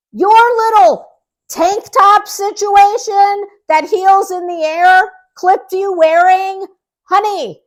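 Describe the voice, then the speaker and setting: A woman giving casual gossip commentary in a sarcastic, mocking way, as the host of her own online show. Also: very high-pitched.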